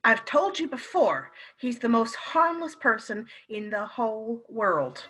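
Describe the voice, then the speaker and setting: An actress in a radio play playing a loyal, spirited and protective London wife, in a theatrical style. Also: female voice, fairly high pitch, sing-song, unhurried, a bit bright, breathy edge, heavily acted, poor audio. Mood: indignant, defensive.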